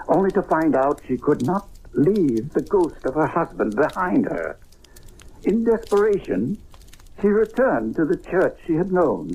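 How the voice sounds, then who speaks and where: A grave occult doctor speaks with slow, deliberate weight. The voice is husky and formal, with an ominous, theatrical edge suited to an old radio mystery. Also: medium pitch, a little mellow, heavily acted, rough recording. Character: grave occult doctor explaining and exorcising a malevolent spirit.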